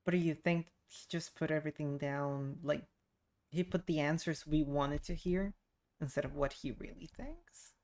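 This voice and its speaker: A woman's voice: low-pitched, moderate pace, a little mellow. A woman streaming a game, musing aloud in a casual, informal commentary.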